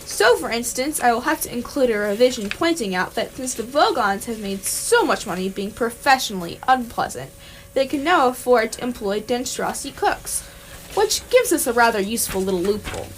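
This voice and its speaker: A woman playing a calm, practical alien hitchhiker in an amateur radio play. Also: a little high, normal speed, rough recording. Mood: practical, explaining. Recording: clear background noise.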